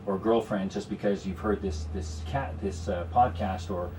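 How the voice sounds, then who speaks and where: A man's voice: fairly low pitch, moderate pace, darkish tone, recorded from a distance, slight background noise, low-quality recording. A man with a Canadian accent, a guest strategist explaining investments in a radio studio conversation, with many "you know"s. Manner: explanatory, talks in long runs with frequent "you know".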